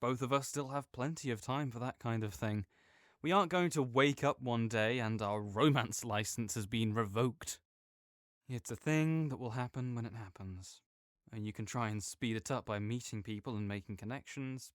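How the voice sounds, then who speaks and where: A man's voice: medium pitch, normal speed, fairly bright tone, no room sound, clean studio sound. A young man in an audio roleplay, talking as a supportive friend in a playful, reassuring way.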